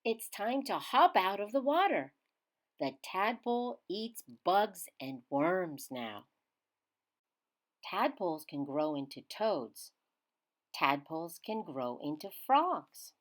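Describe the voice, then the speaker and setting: A woman reading a children's science book aloud in a teacherly way, emphasizing key words with simple, expressive phrasing. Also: lowish voice, slow pace. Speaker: children's read-aloud reader.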